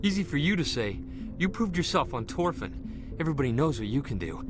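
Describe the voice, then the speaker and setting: A man acting an eager, restless young marine corporal in a video game, excitable and earnest. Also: a little high, fairly quick. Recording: loud music behind the voice. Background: music.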